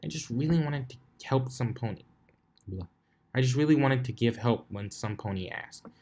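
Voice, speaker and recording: A man's voice: mid-range voice, moderate pace, a little mellow, natural voice. A man voicing a frustrated, dejected young female unicorn wizard in a story reading, muttering and pleading as she repeats herself. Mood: miserable.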